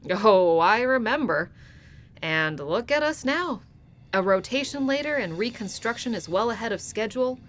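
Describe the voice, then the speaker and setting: An actress playing a warm but commanding female starship captain in a sci-fi audio drama, teasing and authoritative. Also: on the high side, animated, moderate pace, natural voice. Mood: friendly, probing.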